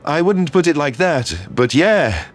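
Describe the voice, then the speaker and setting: Actor playing an earnest, hurt human friend, music behind. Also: male voice, fairly high pitch, animated, unhurried. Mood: encouraging. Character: human man, concerned, hurt, loyal soldier friend. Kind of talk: sci-fi audio drama dialogue.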